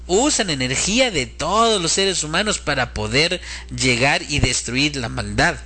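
A man with a fairly high, bright and forceful voice delivers a sermon-like lecture. His pitch moves up and down in a lively way as he comments on religious matters. The delivery is earnest and moralizing.

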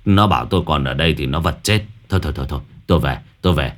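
A man's voice: rumbling low, racing, pitched below the performer's own voice. A man voicing a blustering male exorcist in a spoken ghost story. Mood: panicked. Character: frightened greedy male sorcerer, gruff and blustering.

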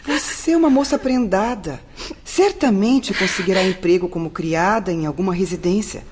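An actress playing a practical, encouraging hospital companion in a radio drama, with a husky voice. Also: female voice, medium pitch, normal speed, darkish tone, close to an everyday voice. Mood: reassuring.

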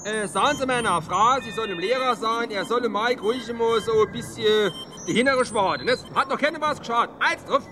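A man's voice: very high voice, over music. An actor playing a blunt, grumbling male astronaut with an in a radio play. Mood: gruff. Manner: blunt, grumbling, quick-tempered. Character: gruff dialect-speaking male astronaut, blunt father.

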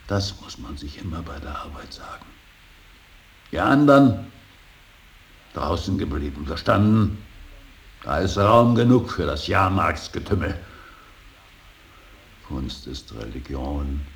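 Stern old artist dad, super low creaky voice, slow and solemn, radio play. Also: male voice, sing-song, full character voice. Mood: indignant fervour. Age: older. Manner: solemn, intense, swings from hushed whisper to outburst. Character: stern, brooding old painter-professor and father. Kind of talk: 1980s German radio drama acting. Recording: hissy radio drama recording.

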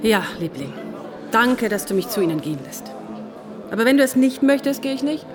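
A woman's voice: on the high side, animated, a little fast, crowd noise, lightly acted. A woman playing an eager, submissive young lover in a radio drama, earnest and apologetic. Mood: meek, pleading.

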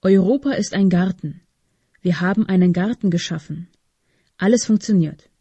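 A woman's voice: lowish voice, moderate pace, dark tone, slightly rough, no echo, muffled recording. A woman reading a scripted political commentary as a radio presenter.

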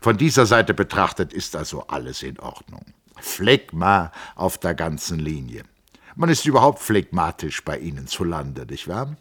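A man's voice: low voice, sing-song, normal speed, fairly bright tone, breathy edge, heavily acted. A man voicing an older, eloquent Italian man of letters who speaks in a polished, mildly mocking, lecturing manner. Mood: ironic.

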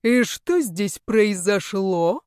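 A woman's voice: medium pitch, lively intonation, unhurried, darkish tone, dry recording, clean studio sound, lightly acted. A woman voicing a sneering, contemptuous male magic-school rector who drawls and barks orders in an audiobook. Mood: mocking. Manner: drawling, imperious, barking.

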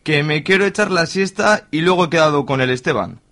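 A man's voice: on the high side, a little fast, a bit bright. An actor playing a stressed, grumbling middle-aged family man with a Spanish accent from Spain in a radio drama. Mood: irritated. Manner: grumbling, impatient. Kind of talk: amateur radio drama acting.

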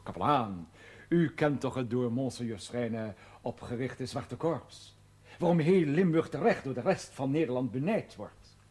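An actor playing a courteous, flattering, pompous gentleman envoy, speaking in formal, flowery sentences. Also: mid-range voice, normal speed, slightly rough. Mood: solemn, flattering. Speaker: actor in a television drama series. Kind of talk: mannered television drama dialogue.

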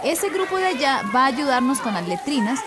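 A capable young shelter volunteer in a radio soap. Her voice is high and very expressive, with a loud crowd behind. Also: fairly quick. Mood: brisk. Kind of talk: radio soap opera acting.

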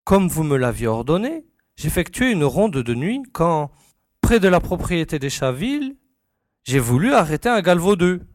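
A man plays a village constable in an audio drama, eager to obey and quick to fluster. His voice is on the high side, plaintive and deferential, recorded dry. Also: sing-song, no room sound. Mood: distraught. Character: dutiful, easily flustered male village constable. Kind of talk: French audio drama acting.

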